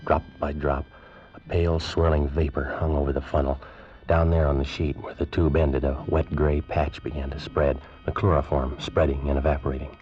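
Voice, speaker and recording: A man narrating a tense story in the first person in 1940s radio drama style, slow and suspenseful. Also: a bass voice, little pitch movement. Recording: dull old radio recording with music.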